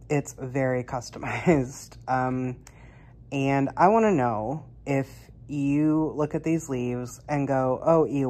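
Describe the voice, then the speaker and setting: Very low-voiced congested woman, chatty. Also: a little mellow, a little hiss or hum. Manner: chatty, rambling, many fillers. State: congested, recovering from illness. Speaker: cross-stitch vlogger. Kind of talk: casual chat to camera.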